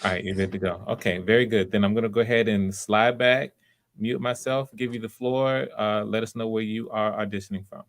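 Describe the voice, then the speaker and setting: Low-voiced encouraging man hosting auditions. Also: fairly even intonation, brisk. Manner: encouraging, repeats himself. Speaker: audition host. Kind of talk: casual online hosting and feedback. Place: live video stream.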